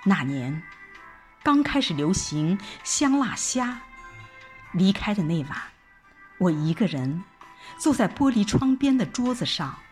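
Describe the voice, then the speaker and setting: A woman narrates a first-person drama in an emotive, theatrical way. Her pace is slow and her pitch moves widely. Music plays softly behind her voice. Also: very animated. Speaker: audiobook narrator.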